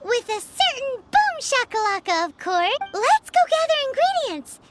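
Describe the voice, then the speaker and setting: A woman voicing a small, excitable, naive fairy girl with a squeaky childlike voice in a video game. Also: normal speed, over loud music. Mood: excited. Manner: refers to self in third person, exclaiming.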